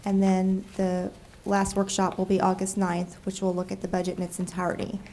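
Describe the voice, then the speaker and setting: A woman with a cold, nasal and froggy, presenting a city budget to council in a measured way with frequent ums. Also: mid-range voice, monotone, warm tone, slight background noise. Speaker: city deputy budget director. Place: council chamber.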